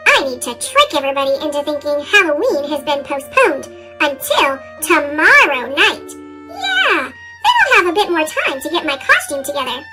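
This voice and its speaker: A grumpy little storybook creature , acted by a woman, whines and exclaims in an extremely high chipmunk voice. The delivery is theatrical, bright and very animated, over faint music. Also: normal speed, rough recording. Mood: scheming. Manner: melodramatic, exclaiming, whiny complaining. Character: grumpy, dramatic, self-pitying little storybook creature living on sunflower. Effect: chipmunk-style pitched-up voice. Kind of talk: children's storybook character reading.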